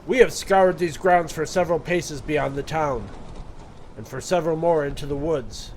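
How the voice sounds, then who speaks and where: A man's voice: fairly high pitch, normal speed, a little mellow, a little hiss or hum, lightly acted. A man playing a dutiful soldier giving formal reports in a fantasy audio drama.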